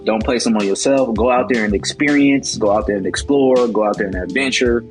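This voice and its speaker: A young American man in his twenties speaks as an interview guest, earnest and motivational, his talk full of "like" and restarts. His pitch sits in the middle range, and soft guitar music plays faintly behind him. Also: a little fast. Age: 20s. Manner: earnest, motivational, many "like" fillers. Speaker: guest, travel agency founder.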